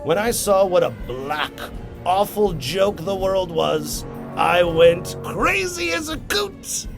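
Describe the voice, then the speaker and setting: A man playing an insane, taunting villain clown in a comic-book drama, theatrical and rambling, lurching between hushed musing and shrill mania. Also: very animated, takes it slowly, over music, heavily acted. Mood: bitter, manic. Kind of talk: comic-book motion comic acting.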